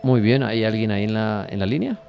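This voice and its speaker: Colombian guy hosting a paranormal call-in radio show, lowish voice, bit of music underneath. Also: fairly quick, gravelly, darkish tone. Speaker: radio host. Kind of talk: live call-in talk about the paranormal. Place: radio studio. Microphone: studio broadcast microphone. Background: faint music.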